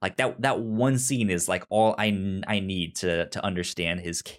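A man co-hosting a casual film discussion, thoughtful and halting, often stuttering and repeating syllables. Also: sits low, fairly even intonation. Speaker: podcast co-host.

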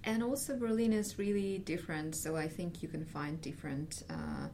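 A woman from Ukraine talks about her recruiting job in a relaxed, halting way, with frequent ums and a ready laugh. Her voice sits a little low. A faint rumble hums behind her. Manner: hesitant, many fillers, laughs often. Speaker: senior tech recruiter. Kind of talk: casual interview chat.

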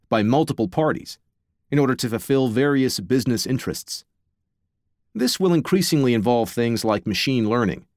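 An American man reading scripted nonfiction as an audiobook narrator, in a measured, clearly enunciated and slightly wry way. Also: medium pitch, no room sound, clean studio sound. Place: dry, close studio recording.

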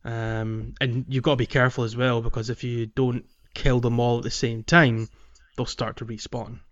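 A man's voice: medium pitch, level tone, normal speed, a bit bright. Man chatting, slightly dull audio. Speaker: podcast host. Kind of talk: casual video game discussion.

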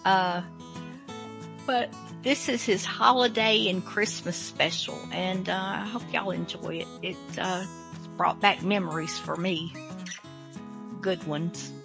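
A woman's voice: lowish voice, takes it slowly, vocal fry, over music. A woman hosting a radio show, introducing things in a casual, informal way.

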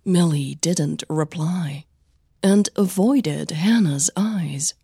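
Female storyteller for scripted drama podcast, husky with flu, unhurried and deliberate delivery. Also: sits low, mellow, dry recording, studio quality. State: flu-affected voice. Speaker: narrator and host of a fiction podcast.

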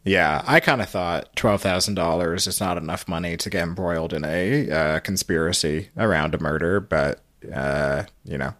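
A man hosting a podcast, recapping a story in a casual, halting, explanatory way. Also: low-pitched, a bit bright, dry recording, studio quality. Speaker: podcast host.

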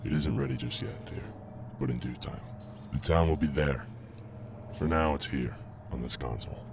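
Man acting a gravelly, very deep-voiced obsessed inventor. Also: very animated, moderate pace, heavily acted. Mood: patient. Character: obsessive visionary male inventor, devoted husband and father. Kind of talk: audio drama acting, partly recorded log narration. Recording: noisy amateur podcast recording.

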